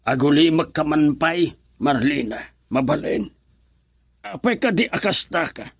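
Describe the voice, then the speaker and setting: A man's voice: a little high, lively intonation, a little slow, no room sound, studio quality. A man with an Ilocano accent playing a firm older adviser to a troubled married couple in a radio drama. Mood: stern, admonishing.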